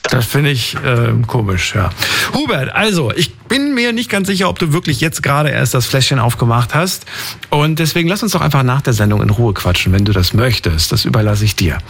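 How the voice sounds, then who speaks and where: A male radio host taking calls from his studio, talking in a direct, opinionated way. He is quick to tease callers and speaks in a plain, mid-range voice. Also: medium pitch, sing-song, creaky, fairly bright tone, slight background noise. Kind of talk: late-night radio call-in show.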